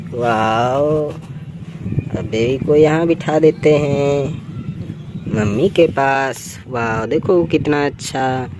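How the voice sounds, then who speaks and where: High-pitched man narrating toy play. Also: noisy background. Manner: exclamatory, sing-song, repetitive interjections. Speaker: toy channel presenter.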